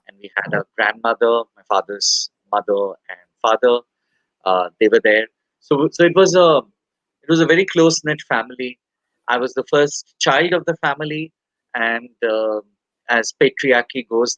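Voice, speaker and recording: A man from India in his forties, speaking candidly as an interview guest, halting and soft-spoken with many pauses and fillers. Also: medium pitch, dry recording, highs cut off.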